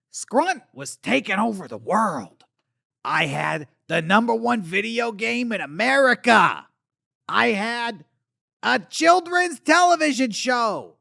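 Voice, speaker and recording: A man plays a faded eighties alien celebrity with a raised, gravelly voice that swings wildly up and down. He rambles crudely and drunkenly, dry and close to the mic, nursing old grudges. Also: high-pitched, a bit bright, no echo, heavily acted. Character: washed-up male eighties alien puppet star, boozy and bitter. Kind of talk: comedic character monologue.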